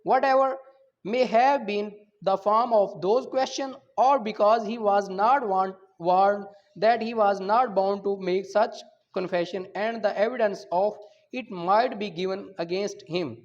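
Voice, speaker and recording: A man's voice: sits high, drawn-out words. A man with a Pakistani accent teaching law as a lecturer, explaining points didactically to students. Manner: didactic, checking students understand, mixing Urdu explanation with English legal terms. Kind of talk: classroom lecture, reading articles aloud then explaining. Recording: dull, lo-fi lecture recording.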